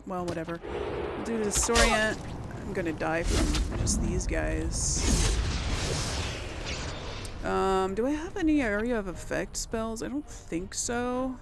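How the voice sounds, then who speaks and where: A woman's voice: medium pitch, animated, measured pace, creaky, very noisy. A woman giving casual gameplay commentary, thinking aloud and muttering complaints to herself as she plays. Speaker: let's play host. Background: video game music and sounds.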